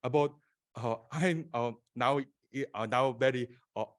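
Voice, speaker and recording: A man from South Korea with a Korean accent and Korean as his first language, presenting slides at a conference in an auditorium with many halting pauses. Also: medium pitch. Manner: halting, many filler sounds and restarts. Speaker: conference speaker.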